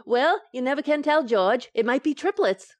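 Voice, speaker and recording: An actress playing a playful, teasing wife who coaxes and soothes in an old-time radio sitcom. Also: female voice, high-pitched, lively intonation, normal speed. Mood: coy. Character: playful, teasing young wife, coaxing and unflappable.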